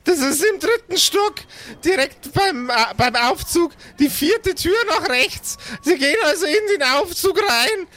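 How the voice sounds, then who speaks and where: A man voicing a young female hospital receptionist who is loud, grating and eagerly helpful in a tabletop audio play. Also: very high voice, animated, a little slow, voice pushed up, exaggerated voice. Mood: shrill, helpful. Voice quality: piercing, shouting, strained. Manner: loud, over-explaining.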